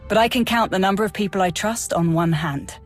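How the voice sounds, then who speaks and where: An actress playing a loyal, frank Imperial major in a video game, speaking earnestly with military formality. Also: female voice, medium pitch, brisk, soft background music, close to an everyday voice. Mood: admiring, grateful. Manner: earnest, crisp military address. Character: dutiful, sincere female Imperial army major, loyal and frank. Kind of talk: dramatic video game acting.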